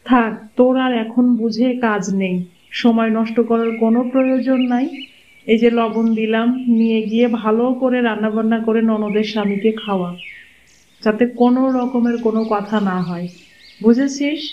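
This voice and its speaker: A woman's voice: fairly high pitch, steady tone, moderate pace, close to an everyday voice. Cartoon dub: a woman as a brusque older aunt, dismissive, Bangladeshi Bengali accent, dull audio with light hiss. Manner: curt, dismissive. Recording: dull lo-fi sound, faint noise.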